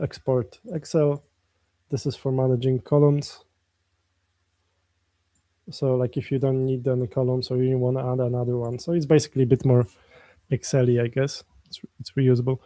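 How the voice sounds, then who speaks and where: A man's voice: mid-range voice, little pitch movement, moderate pace, mellow, no echo. A man with a Polish accent, live-streaming his coding and chatting casually with viewers in a rambling way, often restarting his sentences. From Poland. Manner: rambling, many restarts, reads chat aloud.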